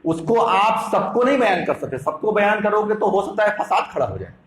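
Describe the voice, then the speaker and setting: A man's voice: high-pitched, very animated, normal speed, fairly bright tone. A man preaching an Islamic sermon, emphatic and didactic, repeating phrases for effect. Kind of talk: Islamic sermon with Quran quotations. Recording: echoey room sound.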